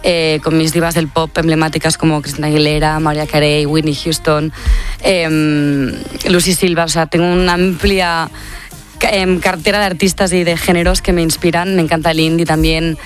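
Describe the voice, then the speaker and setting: A young woman from Catalonia, relaxed and talkative as a radio guest. She chats warmly and leans on little tag questions. Faint music sits under her voice. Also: deep voice, normal speed. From Catalonia, Spain. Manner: chatty, upbeat, many fillers like "no?". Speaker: singer, interview guest. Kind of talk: casual radio interview.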